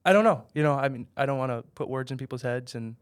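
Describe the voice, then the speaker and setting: A man's voice: medium pitch, fast, smooth, no room sound, studio quality. A man in his twenties answering interview questions in a casual, hedging way, with lots of fillers. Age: 20s. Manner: soft-spoken, hedging with many fillers. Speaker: real estate investor guest.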